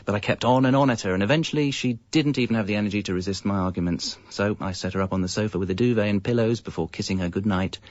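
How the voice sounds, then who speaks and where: An actor playing a wry husband and young father, narrating a comic radio monologue in a deadpan, confessional way. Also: lowish voice, quick pace, few pauses, no room sound, close to an everyday voice. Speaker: narrator and lead character. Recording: muffled, band-limited audio.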